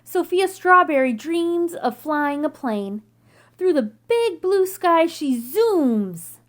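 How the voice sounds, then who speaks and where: A woman reading a children's picture book aloud in an expressive, deliberate storytelling manner. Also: extremely high pitch.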